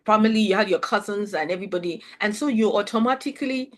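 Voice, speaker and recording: A woman's voice: mid-range voice, fast talker. A middle-aged woman from Nigeria, hosting an interview chat and speaking reflectively, often restarting her sentences. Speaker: host.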